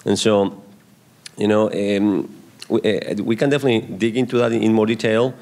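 A middle-aged man from Colombia with a Colombian accent, speaking into a handheld mic on a conference panel stage, explaining in a measured way. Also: lowish voice, little pitch movement, a little mellow. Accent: Colombian Spanish. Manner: measured, explanatory, restarts phrases. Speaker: venture investor panelist. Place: large conference hall stage. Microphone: handheld microphone.

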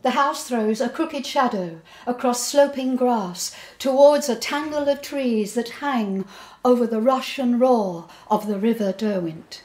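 An older woman giving a dramatic, theatrical recitation of poetry in a measured way. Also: on the high side, a little mellow. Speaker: poet performing her own poem. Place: indoor room before a folding screen. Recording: roomy, echoing indoor sound.

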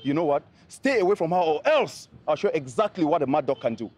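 Casting: a man as a boastful, menacing brother, higher-pitched and swinging wildly in tone. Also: very animated, fairly quick, darkish tone. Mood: threatening. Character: hot-headed protective older brother, threatening.

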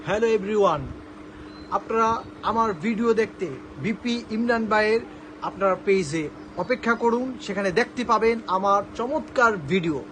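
High-pitched man making an announcement. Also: normal speed, a bit bright, slight background noise, poor audio. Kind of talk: promotional video announcement to viewers.

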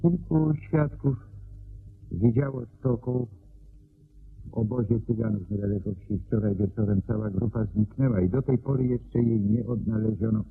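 An actor playing a deferential, evasive private secretary in a Polish radio play, polite, hesitant and deliberate. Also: male voice, medium pitch, gravelly. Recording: narrow phone-like band, hissy old radio.

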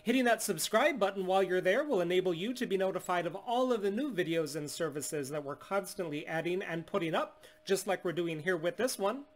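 Man in his thirties, fairly high voice, steady explanatory demo, small room, desk camera. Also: brisk, few pauses. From United States. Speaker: phone unlocking business owner. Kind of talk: scripted product demonstration. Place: small indoor room at home.

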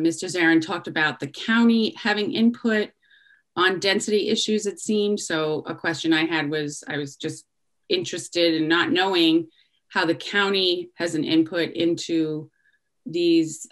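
A middle-aged woman giving public comment at a town meeting over a video call from home, speaking haltingly with many pauses and restarts. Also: sits low. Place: home room indoors. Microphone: laptop webcam on a video call.

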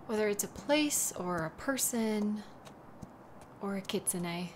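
Audio drama lead: actress as devoted young woman, worried, tender, slow delivery, light ambient noise behind. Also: mid-range voice, expressive. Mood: wistful, worried. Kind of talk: audio roleplay drama, intimate monologue.

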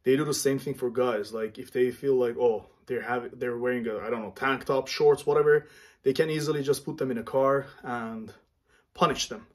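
A man's voice: mid-range voice, fairly even intonation. Upset Iranian-born man vlogging to camera. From Iran. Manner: halting, searching for words, upset. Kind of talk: earnest address to camera. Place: small room at home, indoors.

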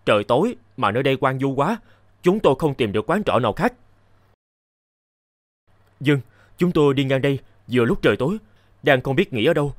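A young travelling scholar from an animated folk tale, played by a man. He speaks in an old-fashioned courteous way, with a mid-range voice that swings expressively in pitch. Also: fairly quick, pauses often, no room sound, natural voice. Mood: polite request.

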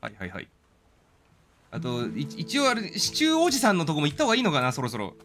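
A man's voice: fairly high pitch, wildly swooping pitch, fast talker, a bit bright. A man giving casual live commentary as he streams a game, talking to himself and his viewers. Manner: reads game dialogue aloud in character.

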